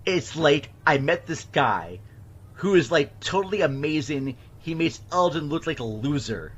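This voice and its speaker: A man playing an excited, lovestruck teenage girl who gushes in halting slang, in an amateur re-enactment of a film scene. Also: on the high side, slightly rough, highs cut off. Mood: gushing. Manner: gushing, halting teen slang.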